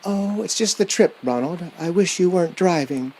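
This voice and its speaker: Man putting on an anxious, high-pitched mother. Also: lively intonation, normal speed, a little mellow, breathy edge, exaggerated voice, poor audio. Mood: worried, tearful. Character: anxious, fussing elderly mother seeing off her son.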